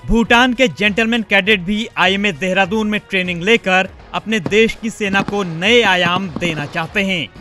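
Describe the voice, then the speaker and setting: Male news narrator, very quick scripted read, forceful bright tone. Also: sits high, faint music behind. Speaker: news presenter voice-over.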